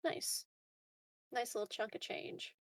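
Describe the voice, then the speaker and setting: A woman's voice: slow pace, dry recording, studio quality, distant mic. A woman playing a tabletop game, giving short, easygoing, casual replies.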